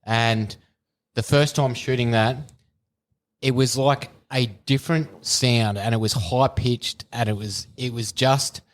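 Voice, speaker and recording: A man's voice: mid-range voice, steady tone, slow pace. An Australian man co-hosting a podcast, an easygoing storyteller in casual chat. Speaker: podcast co-host.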